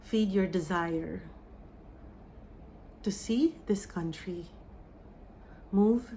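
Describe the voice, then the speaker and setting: A woman with a Filipino accent, a psychic medium giving an oracle reading and channeling a message in a slow, soft, meditative way. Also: mid-range voice, slight background noise. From Philippines.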